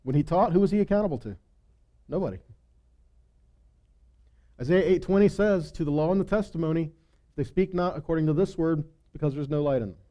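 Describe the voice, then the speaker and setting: A man teaching scripture to a congregation in an emphatic sermon, often posing rhetorical questions and dropping into quieter asides. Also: a little high, brisk, long pauses.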